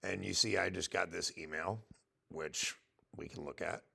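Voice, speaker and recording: Very gravelly, low-voiced man. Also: fairly even intonation, normal speed, pushed and bright. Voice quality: gravelly, husky. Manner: informal, thinking aloud. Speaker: software demo presenter. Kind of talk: casual screen-share product walkthrough.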